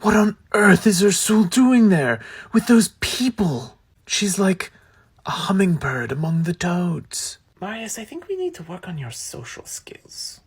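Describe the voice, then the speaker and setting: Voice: mid-range voice, expressive, takes it slowly, breathy edge, exaggerated voice. A voice actor doing a flustered, earnest young man.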